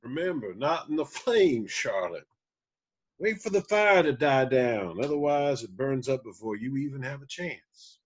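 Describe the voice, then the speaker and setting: A man playing a laconic, gruff country musician father in a screenplay table read, terse and plain-spoken, on a muffled recording. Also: animated, measured pace. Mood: instructive. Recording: muffled, low-quality distant sound.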